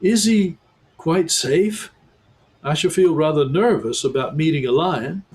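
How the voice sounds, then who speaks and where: A man's voice: fairly high pitch, unhurried, darkish tone, pitched above the performer's own voice, lightly acted. A man reading a cautious, polite young girl.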